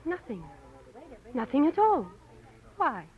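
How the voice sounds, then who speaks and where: Anxious high-voiced young French woman. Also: very animated, slow, exaggerated voice. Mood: uneasy. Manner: hesitant, polite, earnest. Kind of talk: 1940s American radio drama acting. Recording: muffled old radio broadcast recording.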